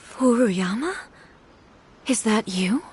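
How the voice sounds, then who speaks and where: An actress playing a solemn, dutiful female shogun in a video game, formal and composed, with a husky voice. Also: on the high side. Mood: startled.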